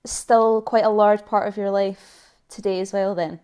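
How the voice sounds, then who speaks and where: A woman's voice: medium pitch, steady tone, measured pace, dark tone, clear, resonant tone. A young woman from Edinburgh hosting a podcast, chatty, with lots of likes and kind-ofs. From Edinburgh, Scotland. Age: young adult. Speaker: podcast host. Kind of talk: casual interview.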